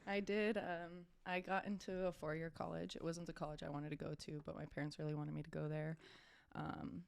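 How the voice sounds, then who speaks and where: A woman's voice: deep voice, flat delivery, brisk, vocal fry, clear, resonant tone, dry recording. A young American woman talks as a guest on a podcast in a casual, self-deprecating way. Her speech is informal and conversational, with a dry, close sound. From California, United States. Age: young adult. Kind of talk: casual interview chat.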